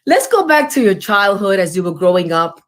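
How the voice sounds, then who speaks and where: A woman's voice: medium pitch, big pitch swings, a little fast. A woman hosting an interview show, effusive and formal, with many compliments and blessings. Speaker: talk show host.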